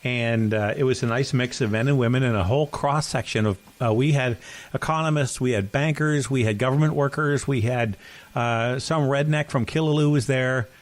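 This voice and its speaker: A man's voice: mid-range voice, normal speed. A middle-aged man co-hosting a podcast, chatting casually and telling rambling stories full of restarts, with an occasional cough. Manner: rambling, self-correcting storyteller. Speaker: podcast co-host. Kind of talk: casual chat.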